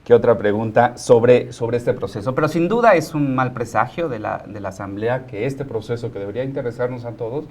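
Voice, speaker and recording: A man's voice: medium pitch, fairly quick, hardly any pauses, a little mellow. Mexican man hosting, joking and informal. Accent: Mexican, Mexico City. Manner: joking, teasing. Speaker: talk show host. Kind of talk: informal studio hosting and news commentary.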